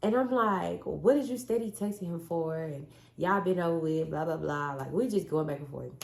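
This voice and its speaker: Hoarse young woman, raspy and gravelly, chatty and blunt, echoey room. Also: fairly low pitch, normal speed, darkish tone. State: hoarse from a cold. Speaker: vlogger. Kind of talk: casual storytime chat.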